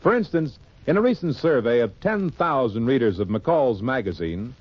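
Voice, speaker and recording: Animated old-time radio announcer man. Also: medium pitch, normal speed. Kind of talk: 1940s-50s radio announcing and sponsor reading. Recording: dull, noisy old radio recording.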